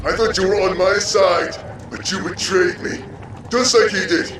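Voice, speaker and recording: A man playing a smug, coolly sadistic villain in a comic book audio drama, with a measured, taunting delivery. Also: high voice, fairly even intonation, a bit bright. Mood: angry, betrayed. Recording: roomy, distant mic, music behind.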